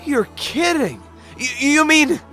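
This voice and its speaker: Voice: high-pitched, animated, slow pace, music in the background. An actor playing a bewildered, polite amnesiac spirit with dry wit in a video game fan dub, hesitant and given to exaggerated surprise. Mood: incredulous. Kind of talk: dramatic fan dub with inner monologue.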